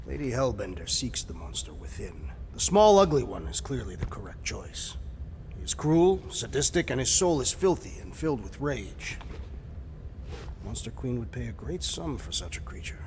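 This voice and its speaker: An actor playing a proud, literal-minded alien warrior in a video game, speaking in a blunt, formal, declamatory way. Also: male voice, mid-range voice, very animated, moderate pace, a little mellow, a little hiss or hum, natural voice. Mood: scornful, emphatic.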